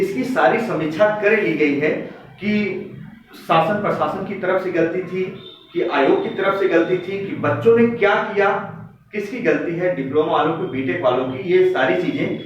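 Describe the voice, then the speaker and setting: A man speaking as a coaching teacher, giving students an exam update in an emphatic, exhorting way with repeated phrases. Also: fairly high pitch. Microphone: distant microphone. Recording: very echoey room, distant muffled mic, faint noise.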